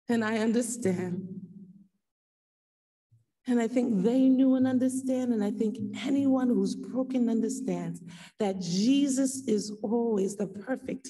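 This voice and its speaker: A woman preacher delivering an earnest sermon, exhorting her listeners with repeated phrases and emphatic pauses. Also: a little high, warm tone. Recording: echoey hall, distant from the mic.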